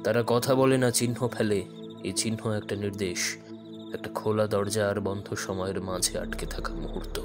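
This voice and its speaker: An actor playing an enigmatic male investigator who speaks gravely and deliberately in riddles in an audio thriller. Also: fairly low pitch, fairly even intonation, lightly acted. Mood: cryptic, grave. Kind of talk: Bengali audio thriller acting. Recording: voice over music bed.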